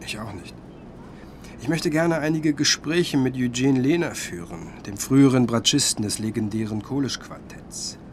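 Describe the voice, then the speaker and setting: Actor as an earnest younger music scholar, mid-pitched. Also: male voice, moderate pace, slight background noise, close to an everyday voice. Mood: thoughtful. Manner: polite, articulate, thoughtful. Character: younger male music scholar, earnest, curious travelling companion. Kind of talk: radio drama dialogue. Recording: music behind the voice.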